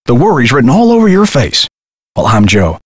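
An actor playing a kindly, folksy old theater projectionist in a video game, with old-fashioned turns of phrase and a husky voice. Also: male voice, medium pitch, huge pitch swings, a little fast, dry recording, studio quality. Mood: concerned, friendly. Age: older. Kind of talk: scripted video game character dialogue.

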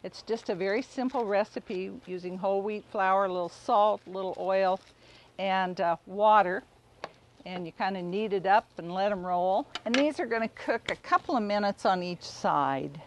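A middle-aged woman giving a casual, unhurried cooking demonstration, explaining informally as she works outdoors. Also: mid-range voice, slight background noise. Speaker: home cook demonstrating a recipe. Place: outdoors in a backyard garden.

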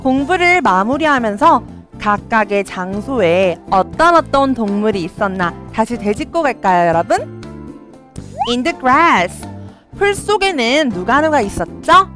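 A young woman teaching children English from a storybook, reading each line slowly and clearly and then explaining it. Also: a little high, big pitch swings, a bit bright, soft background music. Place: studio with a green screen.

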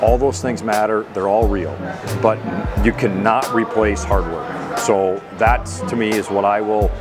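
A man's voice: on the low side, fairly even intonation, unhurried, music in the background. A man in his forties, a hockey coach, giving earnest, emphatic conversational answers in an interview and repeating key phrases. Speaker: college hockey head coach. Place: indoor room at a table by a window.

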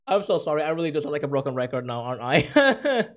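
A livestreamer chatting casually with viewers, voice hoarse and cracking from overuse, gushing thanks in an excitable, self-mocking way. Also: medium pitch, quick pace. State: hoarse, losing voice. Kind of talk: casual stream chat with viewers.

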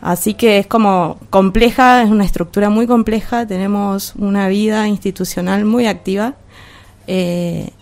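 A woman with an Argentine accent explaining things informally in a radio interview, hesitantly and with frequent pauses. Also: medium pitch, steady tone, darkish tone. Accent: Argentine Spanish. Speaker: guest, art school administrator.

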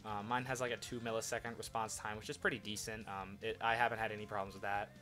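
A man's voice: fairly low pitch, flat delivery, quick pace, bright, forceful tone, soft background music. Congested young man rambling over gameplay. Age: young adult. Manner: rambling, lots of fillers and restarts. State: congested with a head cold. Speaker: gaming channel host. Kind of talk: casual commentary over gameplay. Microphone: desktop USB microphone.

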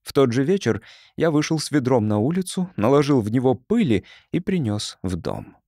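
A man reading an audiobook story as narrator, in an expressive scripted style. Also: medium pitch, normal speed, dry recording, clean studio sound.

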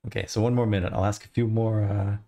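A man's voice: lowish voice, quick pace. A man in his thirties with a mixed Australian, Irish and American accent, chatting casually on a livestream and answering viewer questions through a headset mic from a home studio. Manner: relaxed, rambling, answers chat questions. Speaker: livestreamer, 3D artist.

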